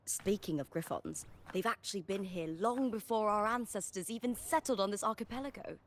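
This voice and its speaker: A woman's voice: mid-range voice, brisk, a little hiss or hum, natural voice. A woman voicing a confident, spirited young gladiator and friendly battle partner in a video game. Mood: cheerful, chatty.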